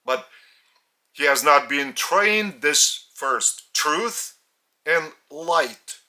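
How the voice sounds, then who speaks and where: A man from Lithuania with a Lithuanian accent, a spiritual teacher speaking in short, halting phrases, his native language being Lithuanian. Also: fairly high pitch, lively intonation, bright, forceful tone. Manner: halting, phrase-by-phrase delivery with pauses. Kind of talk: slow spiritual teaching talk.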